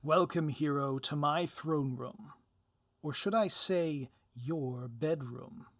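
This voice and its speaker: A man's voice: fairly high pitch, slow pace, a little hiss or hum. A man acting a scheming, secretly lovestruck supervillain in an ASMR audio roleplay, speaking to the listener in grandiloquent, florid phrases. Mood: smug, gloating. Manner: grandiloquent, theatrical, florid formal wording. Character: theatrical male supervillain, scheming, secretly lovestruck doting spouse. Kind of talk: scripted ASMR roleplay, direct address to listener.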